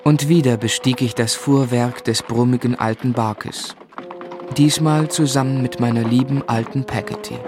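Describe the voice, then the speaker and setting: A man's voice: medium pitch, fairly even intonation, moderate pace, close to an everyday voice. A man playing an adult looking back on his childhood, narrating a literary radio play in the first person. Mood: wry. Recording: faint music behind.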